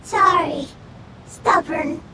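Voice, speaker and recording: A woman voicing a small, gentle, childlike creature in a video game, earnest, speaking in halting, broken phrases. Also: high-pitched, heavy background noise. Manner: halting, broken simple speech. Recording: loud music underneath.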